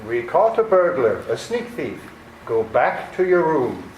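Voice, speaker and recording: A man's voice: a little high, big pitch swings. A man playing a sinister, cruel criminal brother in a radio play, with a threatening manner. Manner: slow, threatening delivery. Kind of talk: old-time radio play acting. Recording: roomy, echoing recording with faint noise.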